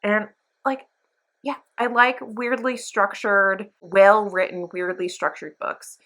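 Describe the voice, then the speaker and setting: Woman book vlogger just chatting away, super gushy and emphatic, mid pitched voice, kinda slangy. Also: fairly even intonation, takes it slowly, fairly bright tone. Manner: gushing, emphatic, informal with mild slang. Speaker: book reviewer, channel host. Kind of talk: casual chat to camera, book review.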